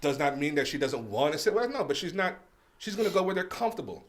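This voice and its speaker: A man from New York on a podcast, telling stories in a casual, halting way, with many restarts. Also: on the high side, sing-song. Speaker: podcast co-host.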